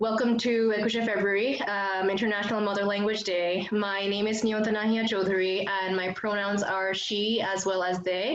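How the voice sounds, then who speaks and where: A woman's voice: mid-range voice, level tone, fast talker, few pauses. A young woman from Bangladesh, a native Bengali speaker, hosting an online event and reading an introduction with frequent um fillers and small restarts. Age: young adult. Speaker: event host, student leader. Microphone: video call. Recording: roomy dull video call audio.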